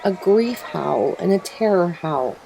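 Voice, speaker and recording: A woman voicing an anguished, plainspoken mother wolf in a dramatic first-person story reading, grave and emotive, with a husky, breathy voice. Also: on the low side, expressive, slow pace, mellow, a little hiss or hum, voice pushed up, full character voice, poor audio. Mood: horrified, anguished. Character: mother wolf telling of her husband's horrifying change, anguished, plainspoken.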